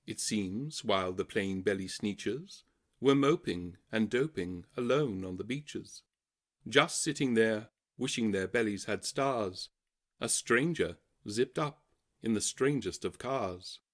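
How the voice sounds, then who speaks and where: A man's voice: lowish voice, expressive, slow. A man narrating a children's audiobook, reading rhyming verse in a theatrical, rhythmic way. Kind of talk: expressive scripted children's story reading.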